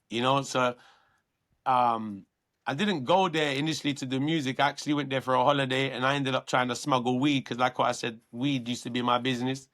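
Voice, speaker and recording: A man with a London accent, a guest artist talking earnestly through his career in a relaxed interview. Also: mid-range voice. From London, England. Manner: earnest, reflective, many restarts.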